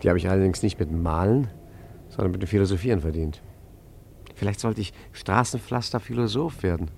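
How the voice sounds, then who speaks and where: Deep-voiced, wry rogue art forger. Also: male voice, fairly quick, vocal fry, darkish tone, slight background noise. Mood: wry, reflective. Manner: wry, talkative, mock-grandiose. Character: roguish, wry male art forger posing as poor artist. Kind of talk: 1950s German radio play acting.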